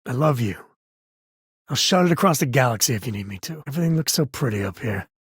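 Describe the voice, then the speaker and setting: A rugged, folksy spacefaring cowboy for a video game. His American voice is heavily husky and sits at a medium pitch, with a wry, protective fatherly manner. The sound is dry, with no room on it. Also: animated, fast talker, no room sound. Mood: tender, earnest. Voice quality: husky, rasping. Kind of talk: video game character dialogue.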